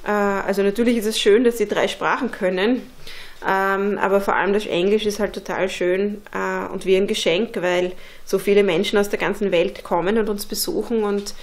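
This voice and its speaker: A woman, talking earnestly in an interview about raising her children, with many pauses and fillers. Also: mid-range voice, fairly even intonation. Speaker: interviewee, unschooling mother. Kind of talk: casual interview talk. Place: indoor pottery workshop.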